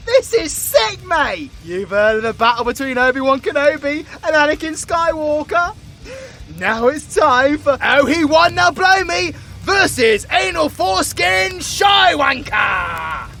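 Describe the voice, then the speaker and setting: Cocky British supervillain warlock played by a man, crude taunting banter, very animated, bright audio-drama delivery. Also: very high-pitched, measured pace, vocal fry, exaggerated voice. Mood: gleeful, taunting. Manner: mocking, sweary, theatrical banter. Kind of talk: audio roleplay voice acting.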